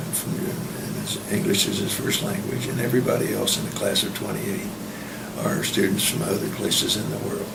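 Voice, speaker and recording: A man in his seventies or older, a professor giving halting, reflective interview answers that circle back mid-sentence. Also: fairly low pitch. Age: 70s or older. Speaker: university professor of finance. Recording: roomy, distant mic, clear background noise.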